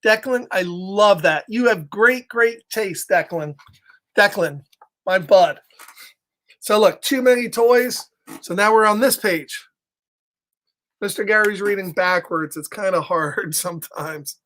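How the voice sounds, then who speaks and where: A man's voice: sits high, measured pace, sharp tone. A middle-aged man telling a children's story, with casual chatty asides and a playful, encouraging, simple way of talking.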